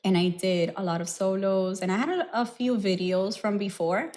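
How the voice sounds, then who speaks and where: A woman's voice: medium pitch, normal speed. Young Miami woman with Cuban-American lilt; laid-back podcast guest, giggly, brief replies. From Miami, Cuban family. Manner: relaxed, laughs often, short answers. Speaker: guest, adult performer. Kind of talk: casual interview chat.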